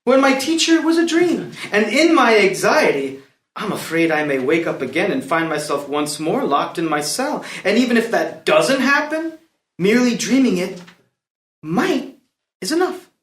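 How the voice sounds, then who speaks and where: Man acting as a high-voiced, proud young prince, declaiming. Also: very animated, normal speed, a bit bright. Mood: wondering, earnest. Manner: declamatory, formal verse delivery. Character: proud young male prince in a classical stage play. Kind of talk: live dramatic play reading. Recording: roomy echo around the voice.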